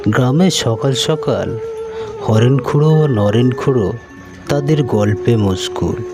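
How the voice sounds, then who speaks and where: A man narrates a scripted cartoon tale. His pitch is medium and his delivery very slow. Music plays clearly beneath the narration. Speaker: story narrator.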